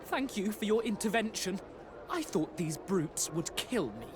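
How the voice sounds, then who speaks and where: An actor playing a haughty, foppish young aristocrat with affected, flowery politeness in a video game. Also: male voice, high-pitched, very animated, unhurried. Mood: grateful. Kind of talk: video game cutscene acting. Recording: clear outdoor ambience noise.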